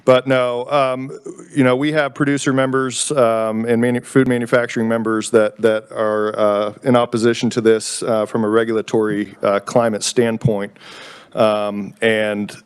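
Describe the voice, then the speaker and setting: A man's voice: medium pitch, flat delivery, clean tone. A man giving spoken testimony to a legislative committee as a lobbyist. He speaks casually and halts often on fillers. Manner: casual, halting, many fillers. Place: state legislature committee room.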